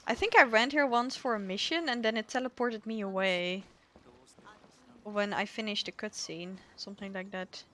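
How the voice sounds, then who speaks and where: A woman's voice: mid-range voice, normal speed, pushed and bright, slight background noise. A woman streaming a game playthrough, chatting casually in a rambling, self-deprecating, giggly way. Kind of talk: casual playthrough chat and reading dialogue aloud. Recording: music behind the voice. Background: game music.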